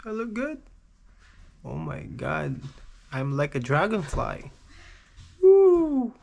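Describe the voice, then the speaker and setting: A man's voice: on the high side, wildly swooping pitch, takes it slowly, creaky. A man from the Philippines with a Filipino accent, chatting playfully in a casual vlog, with Tagalog as his first language. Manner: playful, laughs often. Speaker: vlogger. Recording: loud road noise.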